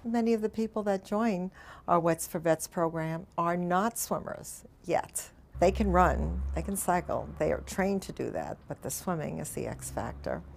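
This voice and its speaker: A woman's voice: lowish voice, normal speed, vocal fry, a little hiss or hum. An older woman who founded a swim program for veterans speaks in a heartfelt, reflective interview in her office.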